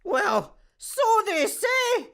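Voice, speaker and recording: A Scottish woman playing a cheeky, deadpan petrol station attendant in a sci-fi comedy radio play, dry and sarcastic. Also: extremely high pitch, drawn-out words, creaky, heavily acted. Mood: chatty, dry. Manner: dry, self-aware, sarcastic.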